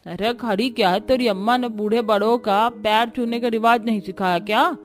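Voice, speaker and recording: A woman's voice: on the high side, fairly even intonation, normal speed, close to an everyday voice. Woman as bossy old village grandmother. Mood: scolding, demanding. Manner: scolding, commanding. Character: loud, bossy 75-year-old village grandmother-in-law, fault-finding.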